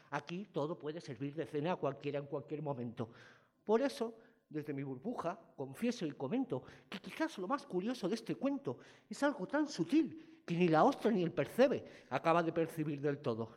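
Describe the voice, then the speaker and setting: A man from performing spoken-word poetry in a theatrical, expressive way. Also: a little high, moderate pace. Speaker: slam poet.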